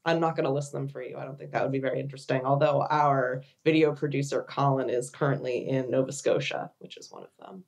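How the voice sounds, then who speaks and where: A woman's voice: very deep voice, fairly even intonation, quick pace, gravelly, hardly any pauses, darkish tone. Woman cracking jokes on a home video call podcast. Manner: playful, laughs often. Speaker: podcast co-host. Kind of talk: casual chat, joking.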